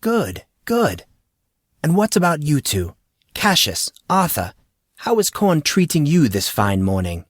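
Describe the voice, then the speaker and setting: A man voicing a brisk, formal, wry male schoolteacher with pompous, precise wording in an audiobook. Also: fairly high pitch, wildly swooping pitch, no room sound, clean studio sound, voice pushed up, exaggerated voice. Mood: jovial, formal.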